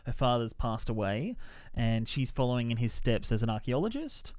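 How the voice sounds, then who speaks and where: A man hosting a podcast, explaining things in a halting, informal way as part of a casual film review chat. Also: mid-range voice, very animated, darkish tone, no echo, studio quality.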